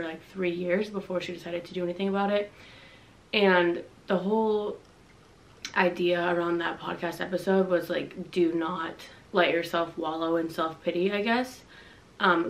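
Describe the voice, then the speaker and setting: A woman's voice: on the low side, low-quality recording. A young woman vlogger with a congested voice from a cold, speaking candidly and earnestly to the camera in her bedroom. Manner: earnest, confiding, reads from notes. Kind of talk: candid personal talk to camera. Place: bedroom, indoors, dim.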